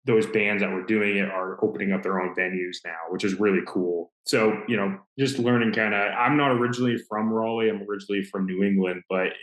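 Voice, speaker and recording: A young man from Maine, a death metal musician, answering interview questions in an easygoing, rambling way with frequent pauses and restarts. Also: fairly low pitch, steady tone. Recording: roomy echo.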